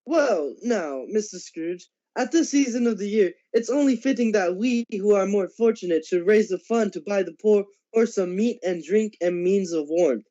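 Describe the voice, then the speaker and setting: A man playing a polite, earnest gentleman collecting for charity in a radio drama, speaking formally and a little haltingly. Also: sits high, animated, dull audio. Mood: courteous.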